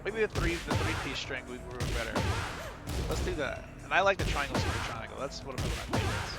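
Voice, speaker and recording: A man's voice: mid-range voice, sing-song, a little fast. A man giving casual, instructive gameplay analysis, talking informally as he coaches a player through moves. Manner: instructive, counts out inputs aloud, informal. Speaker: video creator coaching a fighting game player. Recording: loud game music and sound effects behind. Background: loud fighting game music and effects.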